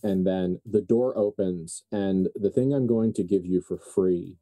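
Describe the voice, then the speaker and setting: Dark-voiced man narrating, in a roomy space. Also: low-pitched, a little slow. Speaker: game master. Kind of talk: narrating a live tabletop roleplay game.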